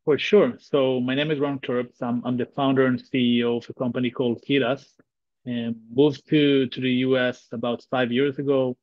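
A man's voice: mid-range voice, level tone, no room sound. Israeli guy on a video call, earnest, lots of restarts, dry slightly muffled sound. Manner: earnest, halting with frequent restarts and fillers. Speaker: guest, tech company founder. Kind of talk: casual interview over video call. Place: bright room at home. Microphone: video call webcam.

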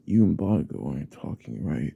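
A man playing a possessive, obsessive young classmate in an ASMR roleplay, speaking in a hesitant, breathy half-whisper. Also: very deep voice, big pitch swings, fairly quick, phone-quality sound, exaggerated voice. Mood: suspicious, probing. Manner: hesitant, hushed, probing.

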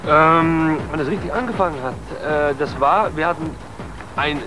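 A man in his twenties from the southern Netherlands with a Dutch accent, a DJ chatting in halting non-native German in an outdoor TV interview. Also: on the high side, low-quality recording. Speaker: DJ and producer. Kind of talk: casual interview chat. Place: outdoors on a harbour waterfront. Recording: outdoor TV interview with loud music behind.

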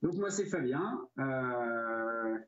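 A man in his thirties from Brittany, chatting casually as an interview guest, pausing often as he feels out his sentences. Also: mid-range voice, animated, a bit bright. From Brittany, France. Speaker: brewery co-founder, interview guest. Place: indoor room, video call. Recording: dull, lo-fi video call audio.

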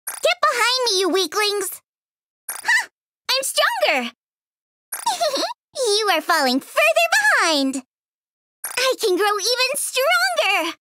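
Super high bratty shield girl, teasing and mocking everybody, voice jumps all over, drags her words out slow. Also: wildly swooping pitch, pushed and bright, slight background noise, exaggerated voice. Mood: battle taunts. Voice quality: bratty, taunting, teasing laughs. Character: cocky young female android shield soldier. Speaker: voice actress. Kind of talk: game character voice lines. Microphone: studio mic.

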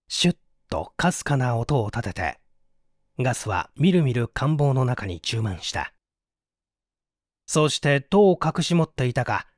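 Male audiobook narrator, dramatic and suspenseful, slightly high voice, lively intonation, dry close sound. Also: dry recording. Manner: theatrical, suspenseful. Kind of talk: dramatic scripted narration of mystery fiction.

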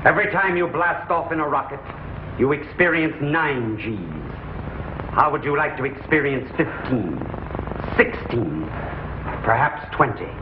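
Scheming space outlaw villain, muffled old soundtrack. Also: male voice, fairly high pitch, expressive, measured pace. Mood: menacing, taunting. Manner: taunting, theatrical. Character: scheming male outlaw villain, menacing and smooth-tongued. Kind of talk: 1950s television space-opera acting. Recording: muffled, noisy, echoey 1950s TV soundtrack.

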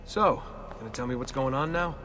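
A man's voice: medium pitch, brisk, background noise, close to an everyday voice. An actor playing a sarcastic young everyman in a video game, talking in a casual, wry way. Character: sarcastic young everyman, reluctant assassin recruit. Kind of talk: video game cutscene acting. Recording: long reverb, music behind.

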